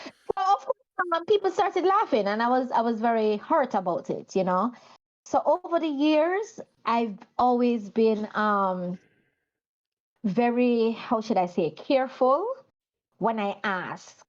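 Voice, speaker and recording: A woman's voice: on the high side, lively intonation, unhurried. Woman, Jamaican accent, teacher, chatty, repeats herself, muffled lo-fi audio. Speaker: guest, teacher. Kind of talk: casual storytelling on a video call.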